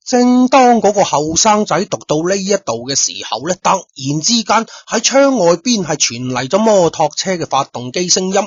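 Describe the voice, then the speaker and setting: A man's voice: high-pitched, sing-song, normal speed, hardly any pauses, husky, no echo, lo-fi sound. A man with a Cantonese accent narrating a story in colloquial radio-drama style, speaking directly to his listeners. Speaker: storyteller, host.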